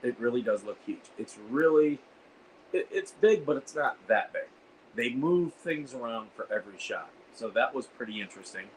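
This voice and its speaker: A hoarse man hosting a casual livestream, rambling with lots of fillers and reading out the chat. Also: mid-range voice, animated, normal speed, slight background noise, rough recording. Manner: rambling, reads chat aloud, frequent fillers. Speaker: host of a veterans livestream. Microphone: iPad.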